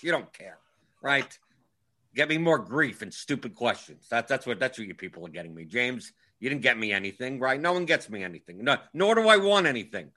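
A man's voice: medium pitch, sharp tone. A man in his forties hosting a casual livestream chat, wry and grumbling, often repeating himself. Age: 40s. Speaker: livestream host.

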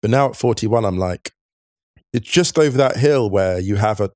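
A man in his forties co-hosting a podcast, croaky, laughing and self-deprecating in casual chat. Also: lowish voice, expressive, moderate pace, a little mellow, no room sound, clean studio sound. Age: 40s. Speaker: podcast co-host.

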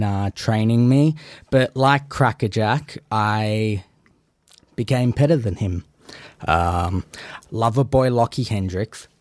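A man's voice: fairly low pitch, no echo. A man on a podcast, boasting arrogantly as a wrestling persona. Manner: boastful, arrogant, self-aggrandizing. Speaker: wrestler guest in character.

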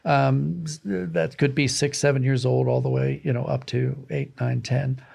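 A man's voice: mid-range voice, flat delivery, moderate pace, gravelly, a little mellow, husky. A man speaking as a guest author in an interview, reflective and emphatic in a conversational way. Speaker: guest, author of audio dramas and books.

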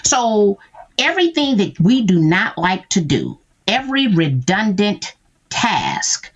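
A woman's voice: medium pitch, wildly swooping pitch, slow pace, dull audio. A woman guest talking through how she uses tools in her business, checking in often with "right?". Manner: explains with frequent "right?" check-ins. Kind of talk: conversational interview.

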